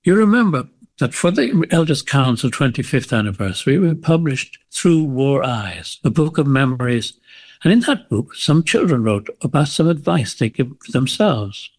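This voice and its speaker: A man presents a radio show with a slightly husky voice. His links are relaxed and informal. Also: medium pitch, normal speed.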